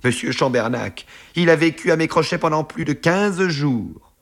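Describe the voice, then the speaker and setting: A man's voice: deep voice, measured pace. A man voicing an evasive, touchy secretary, defensive and easily indignant, hesitant when cornered.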